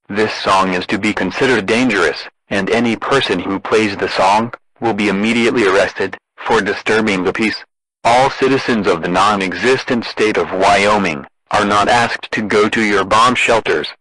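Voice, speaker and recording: A man with a synthetic, deadpan and formal delivery, reading a scripted emergency broadcast announcement. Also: on the low side, dry recording, poor audio.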